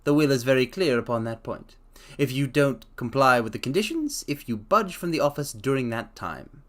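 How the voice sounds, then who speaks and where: A man voicing a formal older employer who speaks in a slow, measured, stately way. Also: mid-range voice, animated, fairly bright tone, close to an everyday voice. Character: formal older male employer, pompous and deliberate.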